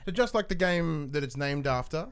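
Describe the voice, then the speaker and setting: A man's voice: on the high side, lively intonation, moderate pace, smooth. A man co-hosting a podcast in a casual, chatty style. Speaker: podcast co-host. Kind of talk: casual chat.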